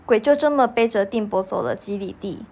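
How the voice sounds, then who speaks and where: A woman's voice: mid-range voice, brisk, darkish tone, a little hiss or hum. A teenage girl narrating a scripted story for a student radio drama.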